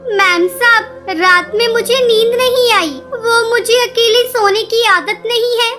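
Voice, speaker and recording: A woman's voice: extremely high pitch, fairly even intonation, a little slow, sharp tone, heavily acted. A woman voicing a small, sad young girl bird who pleads tearfully. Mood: meek.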